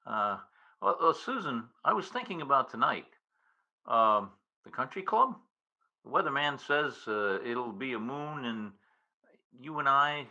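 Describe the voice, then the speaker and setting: A man playing a bashful, folksy reporter courting his sweetheart in an old-time radio comedy, with a hesitant, halting manner. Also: mid-range voice, animated, bright, forceful tone, lo-fi sound, exaggerated voice. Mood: bashful, nervous. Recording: video-call radio play recording.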